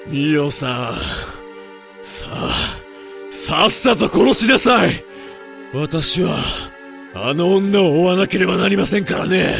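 A man's voice: high-pitched, animated, takes it slowly, vocal fry, exaggerated voice. A man playing a sadistic villain who taunts in mock-polite, theatrical speech in a Japanese amateur voice drama. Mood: impatient, commanding. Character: sadistic, politely menacing male villain hunter. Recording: loud music behind the voice.

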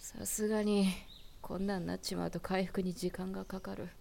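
A woman playing a tough, pregnant female werewolf who talks roughly and mockingly in a dry, masculine style, sometimes dropping to a whisper. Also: mid-range voice, flat delivery, normal speed, warm tone, slight background noise, lightly acted. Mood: blunt. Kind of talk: fan voice drama acting.

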